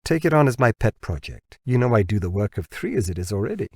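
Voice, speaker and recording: A man voicing a shrewd biotech researcher in an audiobook, pitching insistently to his boss. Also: very animated, no room sound, studio quality. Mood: confident, insistent. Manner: deliberate, insistent salesmanship. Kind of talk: audiobook character dialogue.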